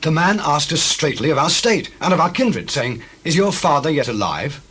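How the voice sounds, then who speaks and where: An actor playing an anxious, guilt-troubled brother in a scripture drama, declaiming earnestly in a raspy voice. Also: male voice, fairly high pitch, very animated, moderate pace, a bit bright, heavily acted. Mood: defensive. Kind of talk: dramatized scripture reading in archaic English.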